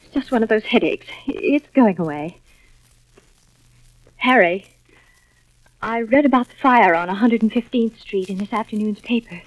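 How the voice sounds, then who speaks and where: A woman's voice: a little high, normal speed, pauses often, dry recording, lightly acted. A woman playing an anxious, evasive wife in a mid-century radio drama, guarded and placating in manner. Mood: guarded, uneasy. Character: anxious evasive wife secretly fascinated by fire. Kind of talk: 1940s-50s radio drama acting. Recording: muffled dry vintage radio recording.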